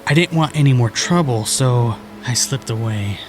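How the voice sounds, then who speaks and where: A man's voice: medium pitch, normal speed, music in the background, close to an everyday voice. An actor playing a modest, earnest young male hero in an audio drama. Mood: somber, apologetic.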